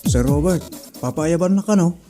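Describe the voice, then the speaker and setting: A man's voice: on the high side, moderate pace, music in the background, natural voice. A man playing an obedient, put-upon young office IT worker, deferential and weary, in a comedy audio drama.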